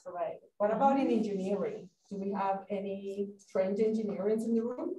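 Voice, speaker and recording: A Spanish-born woman in her thirties gives a public science lecture in a mellow, warm voice and draws the audience in with questions. She is heard from a distance in an echoey hall. A faint hiss sits underneath. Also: medium pitch, normal speed. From Spain. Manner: friendly, engages the audience with questions. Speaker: guest lecturer, postdoctoral researcher. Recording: roomy hall, distant mic, light noise.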